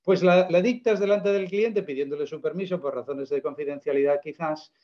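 A man's voice: on the high side, brisk, dull audio. A man, giving an emphatic, persuasive explanation full of rhetorical questions in a casual interview. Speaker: guest presenting a product.

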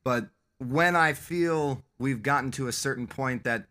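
A man's voice: mid-range voice, measured pace, sharp tone. A man, a metal band guest, talking casually and rambling emphatically, swearing at times. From Las Vegas. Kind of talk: casual podcast chat.

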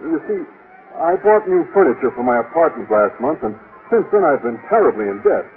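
A man's voice: medium pitch, big pitch swings, brisk, faint music behind, lightly acted. Tinny old-radio shy man, played by an actor. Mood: flustered. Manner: mild, polite, hesitant. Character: shy, earnest, naive biology teacher, a man. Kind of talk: 1950s radio comedy acting.

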